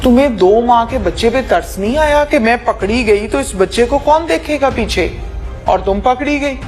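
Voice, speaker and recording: A woman's voice: a little high, lively intonation, moderate pace, darkish tone, soft background music. A woman questioning someone, persistent, sarcastic and scolding. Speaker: interviewer. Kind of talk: probing interrogation.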